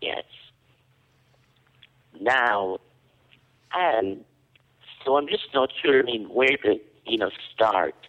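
A man putting on a raspy, muddled woman's voice as a prank caller, rambling and hesitant in a dull lo-fi phone recording. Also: mid-range voice, animated, slow pace, pauses often. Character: confused raspy female prank caller claiming to be a young woman.

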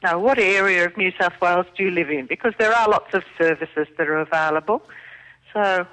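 A helpline operator, a woman with a bright, crisp tone. She asks her questions patiently and politely over the phone. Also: lowish voice, brisk, rough recording. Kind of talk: phone call.

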